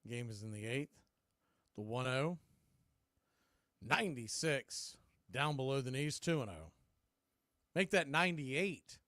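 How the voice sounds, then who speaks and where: A man's voice: medium pitch, lively intonation, measured pace, long pauses, fairly bright tone, no room sound, clean studio sound. An American man calling live baseball play-by-play as an announcer.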